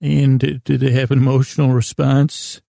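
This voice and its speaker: A man with a very creaky, gravelly voice and a dark, mellow tone, recorded close and dry. He rambles through an improvised sleep podcast monologue, halting, doubling back and musing on small absurdities. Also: mid-range voice, flat delivery, no echo. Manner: meandering, halting, often trails off and restarts; gently absurd, whimsical musing. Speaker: podcast host.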